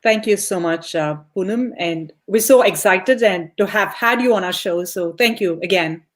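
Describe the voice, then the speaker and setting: A woman's voice: mid-range voice, normal speed. Upbeat young woman podcast host. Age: young adult. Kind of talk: casual podcast interview.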